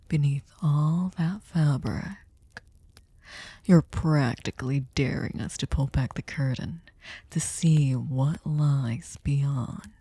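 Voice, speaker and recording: A woman plays a possessive, sadistic female vampire in an intimate ASMR drama. Her voice is lowish and mellow, recorded close and dry. She speaks with silky, courtly politeness, teasing and coaxing, often dropping into a breathy whisper. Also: no echo, exaggerated voice. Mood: seductive, admiring. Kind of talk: scripted ASMR roleplay, intimate dramatic monologue.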